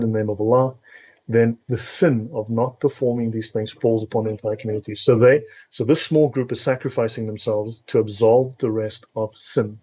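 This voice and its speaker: A man with a South African accent talks in an academic, teacherly way as an interview guest. His voice is mellow and mid-pitched. The audio is a little dull and lo-fi. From South Africa, born and raised in Cape Town. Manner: academic, didactic, checks understanding with "right?". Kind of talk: explanatory live interview talk.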